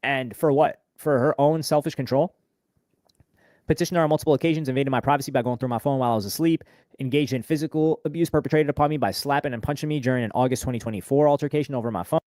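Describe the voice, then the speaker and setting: A man's voice: mid-range voice, a little mellow, no room sound. A man reading a court document aloud and adding casual comments as he goes. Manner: brisk, reads documents quickly then comments casually. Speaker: streamer reacting to court filing.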